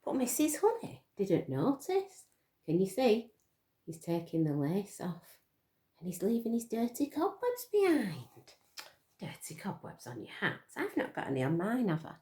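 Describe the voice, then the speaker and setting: Woman, children's storytime reader, expressive, slow, big pitch swings, addressing little listeners. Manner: expressive, dramatic gasps and questions to listeners. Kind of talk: animated picture-book storytelling to young children.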